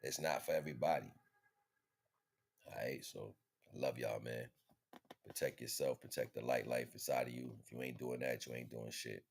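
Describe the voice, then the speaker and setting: A man's voice: rumbling low, steady tone, normal speed, vocal fry, long pauses. A man giving a casual motivational wellness talk in an emphatic, slangy, streetwise way. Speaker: host.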